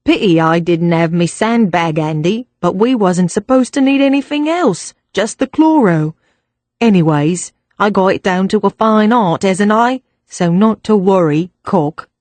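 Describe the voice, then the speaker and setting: A woman voicing a rough male Cockney kidnapper who talks bluntly in slang. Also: mid-range voice, expressive, a little slow, darkish tone, no echo, close to an everyday voice. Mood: gruff.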